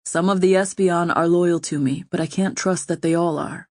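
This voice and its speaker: A woman reading an audiobook, voicing a troubled, gentle nobleman who speaks softly and ruefully. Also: on the low side, fairly even intonation, dry recording, studio quality. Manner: soft, measured, rueful.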